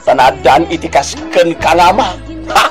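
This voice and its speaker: A man's voice: fairly high pitch, unhurried, music in the background, close to an everyday voice. An actor in an Ilocano radio drama playing a mocking, scolding man who needles and exclaims.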